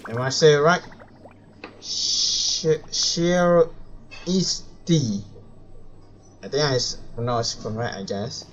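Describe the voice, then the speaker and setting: Slow-talking man live streaming a game. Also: fairly high pitch, lo-fi sound, slight background noise. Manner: hesitant, rambling, self-correcting. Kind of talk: casual live stream commentary.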